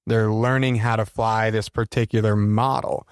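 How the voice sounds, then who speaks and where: A man co-hosting a podcast in casual chat, a flight instructor who talks in a relaxed, joking way with many fillers. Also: lowish voice, normal speed, smooth, no echo, clean studio sound.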